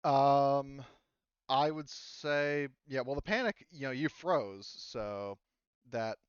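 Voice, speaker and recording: A man's voice: mid-range voice, slow pace, clean tone, no room sound, dull audio. A man speaking casually as game master of a tabletop game, explaining options in a conciliatory way.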